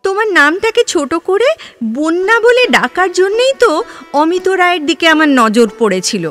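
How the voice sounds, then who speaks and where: A woman's voice: extremely high pitch, big pitch swings, normal speed, fairly bright tone, full character voice. An actress playing an ardent, wistful young woman in a Bengali audio drama, with hesitant repeats and emotional exclamations. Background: background music.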